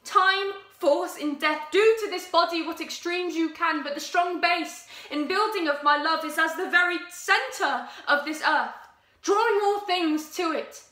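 A woman's voice: very high-pitched, measured pace, pushed and bright, pitched above the performer's own voice, exaggerated voice. A young woman playing a lovestruck young woman, declaiming impassioned Shakespearean verse in an audition. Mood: passionate. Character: young woman vowing loyal love. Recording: echoey room.

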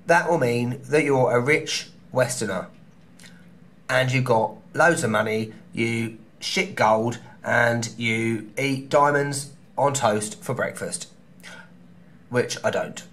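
A man's voice: medium pitch, a bit bright. A man in his thirties with a London accent, chatting cheekily through a travel advice vlog from a small hotel room, camera held close. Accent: London English. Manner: chatty and cheeky, quick asides. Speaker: travel vlogger. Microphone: camera held close at arm's length.

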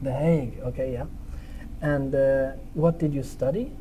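Mellow Swedish-accented male interviewer. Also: mid-range voice, steady tone, a little slow, slight background noise, rough recording. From Sweden. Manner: friendly, hesitant, many fillers and restarts. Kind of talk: casual interview. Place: indoor lounge, seated at a table.

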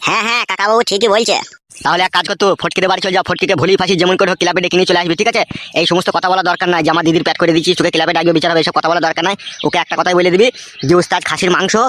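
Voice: mid-range voice, steady tone, very fast, a bit bright, close to an everyday voice. A performer voicing a sly, coaxing young village man, a sidekick in a comedy cartoon. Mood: scheming agreeable. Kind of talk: Bengali comedy cartoon dub.

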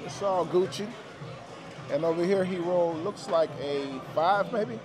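High-voiced man gambling on a noisy casino floor. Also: unhurried. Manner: slangy, repeats numbers and phrases. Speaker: gambling vlogger. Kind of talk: casual play-by-play to viewers. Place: busy indoor casino floor. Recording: busy casino floor, music, crowd chatter. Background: music and crowd chatter.

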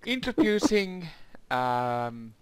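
A man casually directing voice actors, with a hesitant, halting way of talking. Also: on the high side, very animated, clean tone, no echo. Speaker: fandub director.

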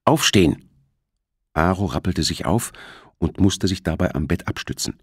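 A man's voice: sits low, moderate pace, slightly rough, no echo, clean studio sound. A man reading a thriller as an audiobook narrator, in scripted narration.

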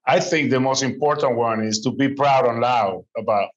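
A man's voice: medium pitch, normal speed, highs cut off, noisy background. A middle-aged man speaking emphatically on a video-call panel, repeating his words as he builds each point. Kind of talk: casual panel discussion. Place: large office, indoors. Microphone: lapel.

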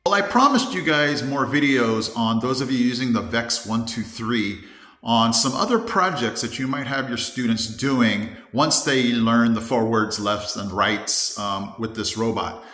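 A man's voice: mid-range voice, a little slow, bright, forceful tone. A man in his fifties, an elementary teacher hosting an upbeat classroom robot demo, counting steps aloud, recorded on a phone held at arm's length. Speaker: elementary teacher, video host. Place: classroom, indoors. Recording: echoey classroom.

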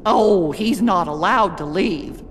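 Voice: mid-range voice, takes it slowly, in a large hall, a little hiss or hum, natural voice. An actor playing a chatty, wry stone gargoyle in a video game, with a sassy manner. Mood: matter-of-fact.

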